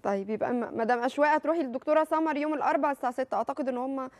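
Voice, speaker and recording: A woman in her thirties with an Egyptian accent hosting a TV talk show, reading viewer messages and taking calls briskly. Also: high-pitched, no room sound. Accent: Egyptian Arabic. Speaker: TV host. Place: TV studio.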